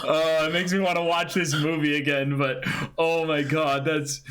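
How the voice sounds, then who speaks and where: A man hosting a livestream in excited casual chat, exclaiming freely and effusive in his thanks. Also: on the high side, moderate pace, background noise. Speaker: livestream host.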